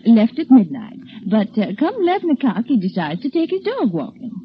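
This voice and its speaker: A woman's voice: on the high side, huge pitch swings, brisk. An actress playing a chatty, folksy landlady in a 1940s radio drama, rambling along in colloquial speech. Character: chatty, folksy female rooming-house landlady. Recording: muffled, hissy old radio broadcast.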